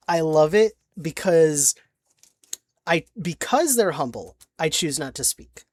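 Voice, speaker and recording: A young woman chatting casually about her tabletop game character, halting with many restarts and little giggles. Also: low-pitched, very animated, a little mellow, breathy edge, no echo. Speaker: player recounting a tabletop campaign. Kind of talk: casual rambling chat.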